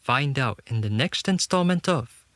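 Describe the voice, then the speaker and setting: A man's voice: medium pitch, expressive, a little slow, fairly bright tone, no echo. A man narrating a fantasy audio drama in a dramatic, scripted storytelling style.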